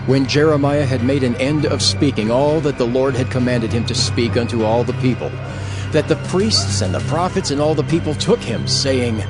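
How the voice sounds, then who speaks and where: A man with a medium-pitched voice narrates scripture formally and at a measured gait. Music plays clearly beneath the scripted reading.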